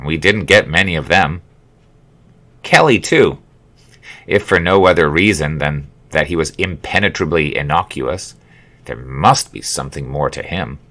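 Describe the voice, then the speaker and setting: Male author-narrator, low-pitched, for a memoir audiobook. Measured delivery with dry, wry humor. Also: fairly bright tone. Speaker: author reading his own memoir. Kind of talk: audiobook narration, scripted storytelling.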